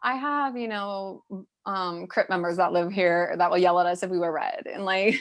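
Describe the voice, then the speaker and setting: A woman's voice: fairly low pitch, normal speed. A woman around thirty, a podcast guest chatting candidly and informally about her own life. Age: 30s. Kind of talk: casual chat, personal storytelling.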